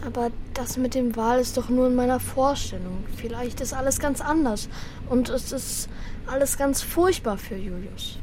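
A woman's voice: a little high, normal speed, background noise, natural voice. A woman playing a young boy who misses his brother, earnest and heartfelt, narrating and acting in a children's radio play. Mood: troubled, earnest.

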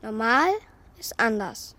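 A woman's voice: on the high side, takes it slowly, bright, forceful tone. A woman playing a small, naive living puppet boy in a children's radio play, earnest and plaintive. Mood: sad, ashamed.

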